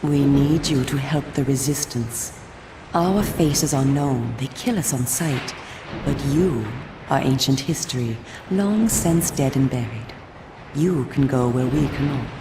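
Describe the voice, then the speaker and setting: An actress voicing a solemn, coolly persuasive female vampire resistance agent in a video game, speaking in a formal, measured, theatrical way. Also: extremely low pitch, roomy sound, background noise. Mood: earnest, grave. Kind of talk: scripted video game cutscene acting.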